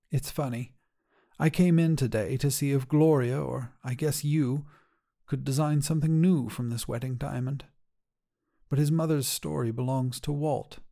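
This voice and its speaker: A man plays an elderly widowed grandmother in an audio short story. The voice is mellow and soft-edged, pitched a little above his narration. She speaks earnestly and wistfully, with gentle resolve. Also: moderate pace, gravelly, close to an everyday voice. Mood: uneasy, resolute. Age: older.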